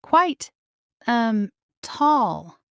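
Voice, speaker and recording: A spirited young princess from a children's storybook, played by a woman. She speaks in a bold, informal, exclamatory way, in a clear, fairly high voice. The sound is dry and close. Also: sing-song, extremely slow, no echo, exaggerated voice. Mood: awkwardly polite. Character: spirited, eager young princess, playful daughter. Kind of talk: children's storybook character reading.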